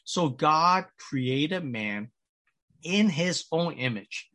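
A pastor delivering a sermon with an American accent, measured and pausing, with frequent restarts. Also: male voice, on the high side, lively intonation, bright, forceful tone. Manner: measured preaching with pauses and restarts.

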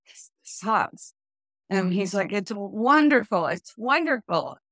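A woman's voice: mid-range voice, big pitch swings, slow pace, fairly bright tone, no echo, clean studio sound. A woman in her fifties from the United States, telling personal stories as an author being interviewed. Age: 50s. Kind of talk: conversational storytelling interview.

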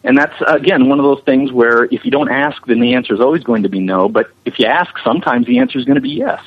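A man's voice: medium pitch, huge pitch swings, fast talker, vocal fry, slightly rough. A man being interviewed, telling anecdotes in a chatty, enthusiastic, casual way. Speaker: visual effects animator being interviewed. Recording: muffled band-limited radio-like line.

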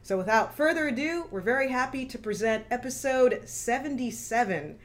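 A woman's voice: on the high side, animated, normal speed. A woman from Texas hosting an interview podcast, introducing her guest with enthusiasm. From Texas, USA. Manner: warm and enthusiastic, upbeat introductions. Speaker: podcast host.

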